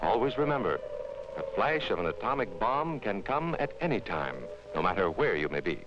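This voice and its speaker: A man's voice: fairly low pitch, big pitch swings, normal speed, music in the background. An American man narrating a 1950s educational film, speaking to children in an instructive, emphatic manner. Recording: dull vintage 1950s film soundtrack.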